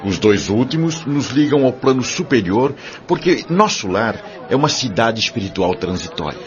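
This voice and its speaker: A man's voice: mid-range voice, big pitch swings, moderate pace, natural voice. A man with a Brazilian accent playing a wise, benevolent spirit mentor in an audio drama, teaching solemnly in formal words. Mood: explanatory. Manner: solemn, didactic, formal diction. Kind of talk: audio drama dialogue, explanatory. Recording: dull lo-fi audio with music behind.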